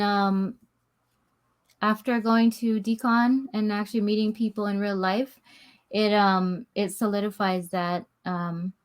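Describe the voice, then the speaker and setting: A woman guest on a video call, speaking hesitantly with many pauses and ums. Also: mid-range voice. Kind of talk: casual chat on a video call.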